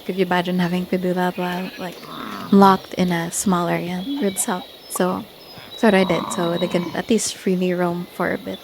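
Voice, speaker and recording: A woman's voice: fairly low pitch, little pitch movement, moderate pace, noisy background. A woman giving a casual, unscripted video game tour commentary, hesitant and often searching for words. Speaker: gamer showing off own Minecraft village build.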